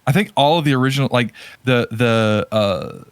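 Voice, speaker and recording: A man chats casually as a podcast co-host in a mid-pitched voice. He rambles, backtracking and correcting himself as he thinks aloud. The sound is dry, with very little room echo. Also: normal speed, clean tone. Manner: rambling, hesitant, self-correcting. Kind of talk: casual podcast chat about movies.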